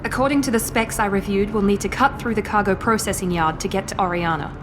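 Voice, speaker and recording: Music plays behind a poised woman operative voiced by an actress in a video game. She speaks in a controlled, clipped, serious way, guarded and protective, at a medium pitch. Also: fast, natural voice. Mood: determined. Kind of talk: video game dramatic acting.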